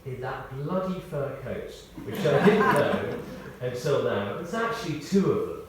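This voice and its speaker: A man's voice: mid-range voice, big pitch swings, a little slow, over a noisy crowd. British man giving a tribute, very echoey. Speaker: eulogist reading a tribute. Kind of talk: scripted memorial tribute with humorous anecdotes. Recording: very echoey, distant mic, faint noise.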